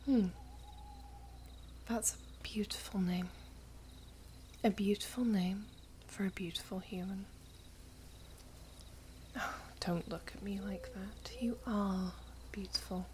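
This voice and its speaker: Woman playing a slow, whispery elven princess. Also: mid-range voice, a little hiss or hum, lightly acted. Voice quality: breathy, whispery. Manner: slow, hushed, formal storybook phrasing. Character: gentle, wistful elven princess, guarded yet tender. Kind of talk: ASMR roleplay, soft whispery close speech.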